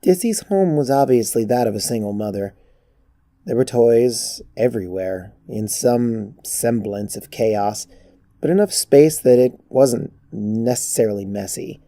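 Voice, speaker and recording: A narrator reading a first-person story in a measured, reflective way. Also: mid-range voice, mellow, no echo. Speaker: narrator of fan fiction.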